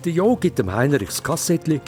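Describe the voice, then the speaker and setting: A man's voice: on the high side, sing-song, fairly quick, darkish tone. A man with a Swiss German accent narrating a children's audio drama in a dramatic storytelling manner. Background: music.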